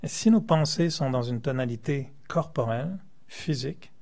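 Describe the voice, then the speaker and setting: Gravelly-voiced man giving a playful meditation talk. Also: mid-range voice, lively intonation, normal speed, a little mellow. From France. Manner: playful, joking, chuckles often. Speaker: meditation teacher. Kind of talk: spiritual talk to a class.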